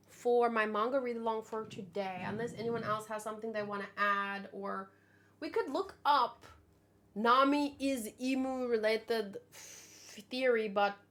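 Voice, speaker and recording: High-voiced woman in casual streamer chat. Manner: animated, exclamatory, rambling asides. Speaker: streamer hosting a manga read-along. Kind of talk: casual live chat, thinking aloud.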